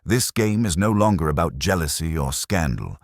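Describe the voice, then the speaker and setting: A man voicing a brilliant, intense detective in an audiobook, commanding and cryptic, with deliberate, rhetorical delivery. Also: very deep voice, animated, no room sound, studio quality, exaggerated voice. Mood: urgent.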